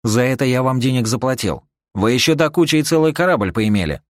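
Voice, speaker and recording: Мужчина озвучивает практичного, хитроватого начальника, который напористо торгуется, персонаж аудиокниги. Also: male voice, mid-range voice, brisk, dry recording, clean studio sound, voice pushed up, lightly acted. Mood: haggling. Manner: persuasive, slangy. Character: shrewd male boss haggling briskly, stubborn and practical.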